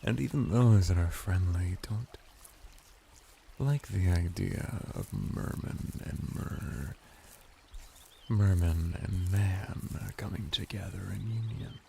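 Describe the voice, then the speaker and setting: Casting: male actor as a courtly, flirtatious merman for intimate ASMR roleplay; very low register, crackling vocal fry. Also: exaggerated voice. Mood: tender, flirtatious. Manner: soft-spoken, intimate, formal flattering speech with lingering pauses. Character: flirty, calm male merman bathhouse attendant, courtly and seductive. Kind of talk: ASMR roleplay addressed to the listener.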